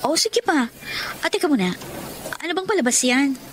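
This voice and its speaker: A woman's voice: high voice, lively intonation, moderate pace, noisy background. An actress with a Filipino accent playing a sincere, devoted daughter in her thirties in a radio drama. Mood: friendly. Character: devoted 33-year-old daughter, guarded romantic, career woman. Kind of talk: Tagalog radio drama acting and first-person narration.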